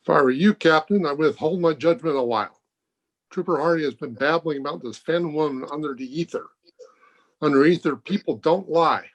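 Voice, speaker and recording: Man acting a firm doctor, slightly high voice. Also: a little fast, low-quality recording. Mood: thoughtful, grave. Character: authoritative, open-minded hospital doctor, thoughtful and firm. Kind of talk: old-time radio mystery acting. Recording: home video-call recording.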